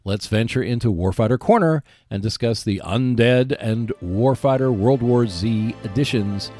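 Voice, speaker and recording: A man hosting a solo podcast about board games, speaking in measured phrases with emphatic stresses. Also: medium pitch, mellow, soft background music. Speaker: podcast host.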